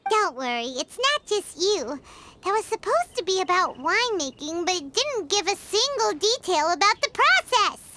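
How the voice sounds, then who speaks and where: A woman voicing a tiny, childlike fairy companion in a video game, squeaky, perky and cheeky. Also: sing-song, moderate pace, noisy background. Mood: puzzled. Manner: perky, refers to self in third person. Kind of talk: animated video game character acting. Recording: loud music behind the voice.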